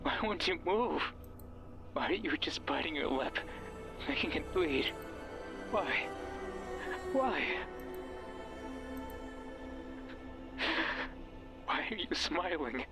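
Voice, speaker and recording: A man's voice: on the high side, expressive, slow pace, creaky, pauses often, over music, exaggerated voice. An actor playing a manipulative, obsessive man's voice from a radio in a horror game, speaking with intimate, insistent, theatrical intensity. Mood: desperate, agitated. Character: manipulative, pleading male voice from a radio, obsessive and sinister. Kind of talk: dramatic horror game voice acting.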